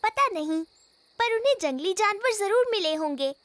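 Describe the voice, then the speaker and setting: A woman's voice: very high-pitched, lively intonation, moderate pace, a bit bright, no echo. A woman voicing an eager, curious little zebra boy in a children's cartoon, earnest and childlike. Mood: earnest, wary. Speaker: voice actor. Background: music.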